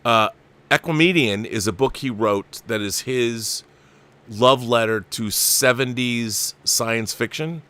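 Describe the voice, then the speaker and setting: A man's voice: mid-range voice, slow, sharp tone, clean tone. A man hosting a casual live show, chatting enthusiastically with rambling asides and reading viewer comments aloud.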